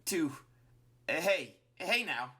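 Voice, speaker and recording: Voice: medium pitch, very animated, takes it slowly, pushed and bright, voice pushed up, exaggerated voice. A narrator voicing a scheming, bossy and angry male flower creature in a dramatic story, spitting out the words. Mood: nervous backpedaling. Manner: roaring, spitting, disingenuous.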